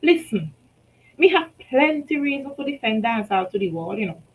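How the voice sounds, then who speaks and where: A Vincentian woman reading her own short story aloud, telling it in rhythmic Creole with lively shifts in loudness. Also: a little high, moderate pace, rough recording. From St. Vincent and the Grenadines.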